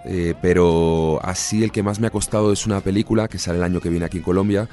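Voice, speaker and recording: A man's voice: low voice, fairly even intonation, fast talker, warm tone, soft background music. A man in his thirties with a Spanish accent, an actor answering interview questions in an easygoing, chatty way. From Spain. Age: 30s. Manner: easygoing, chatty, with frequent restarts. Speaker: actor guest.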